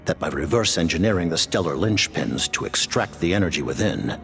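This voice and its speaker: A man voicing a stern, formal high-ranking commander who speaks in measured, grave tones in a game. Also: expressive, creaky, a bit bright, full character voice. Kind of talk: video game voice acting. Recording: game audio with music.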